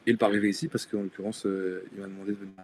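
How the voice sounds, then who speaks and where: Low-voiced hesitant man from Denmark. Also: flat delivery, racing, darkish tone, a little hiss or hum. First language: Danish. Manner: hesitant, many fillers and restarts. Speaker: guest illustrator and tattoo artist. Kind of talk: casual live-stream chat.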